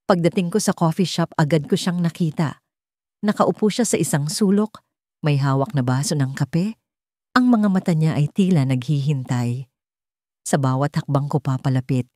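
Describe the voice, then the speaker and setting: A woman reading a scripted first-person sad love story in a dramatic, measured storytelling manner. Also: low-pitched, warm tone, no echo, clean studio sound. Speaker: story narrator.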